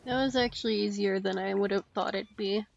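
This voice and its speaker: A woman chatting casually in a low-key way as she plays a game with someone. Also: fairly even intonation, brisk, dull audio. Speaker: co-player. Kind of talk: casual gameplay chat. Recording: clear background noise with clicks.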